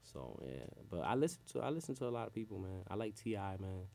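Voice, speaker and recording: A Puerto Rico-born man, a rapper, chatting loosely in street slang as an interview guest, his sentences trailing off low. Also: big pitch swings, quick pace, vocal fry, a little mellow. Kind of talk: casual interview chat.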